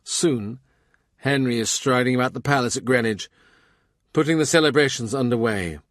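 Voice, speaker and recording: A man narrating a historical novel as an audiobook, with measured, deliberate literary phrasing. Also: mid-range voice, fairly bright tone, no room sound, studio quality.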